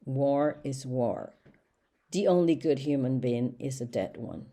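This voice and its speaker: A woman's voice: rumbling low, a little slow, warm tone. A woman reading the lines of a fierce, wounded male pig leader in an audiobook, blunt and stern.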